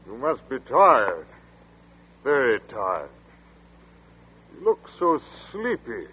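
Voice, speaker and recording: An actor playing a soothing, hypnotic man who murmurs slowly, in 1940s radio acting. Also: mid-range voice, huge pitch swings, breathy edge, exaggerated voice. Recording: tinny old radio broadcast with music.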